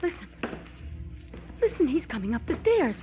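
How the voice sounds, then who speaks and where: An actress playing a fearful young daughter in old-time American radio horror, with melodramatic delivery. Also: female voice, high voice, sing-song, normal speed, soft background music. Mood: anxious. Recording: dull vintage radio recording.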